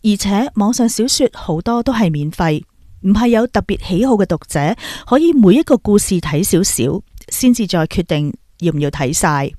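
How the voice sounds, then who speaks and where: Hong kong cantonese woman hosting, scripted commentary, soft dark tone, very dry close sound. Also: medium pitch, lively intonation, moderate pace, dry recording. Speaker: podcast host.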